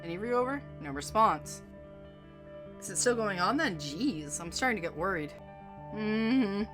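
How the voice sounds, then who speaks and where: A woman narrating as a soft-spoken, anxious young boyfriend in a first-person story. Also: mid-range voice, animated, unhurried, over music, close to an everyday voice. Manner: quiet, fretful, self-doubting.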